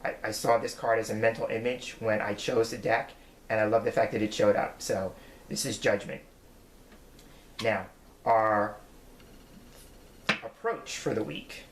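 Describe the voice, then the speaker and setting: A man's voice: on the low side, fairly even intonation, a little slow, long pauses, slight background noise. A man in his forties, a tarot reader, giving his weekly forecast in an earnest, reflective way as he explains each card. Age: 40s. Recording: roomy echo.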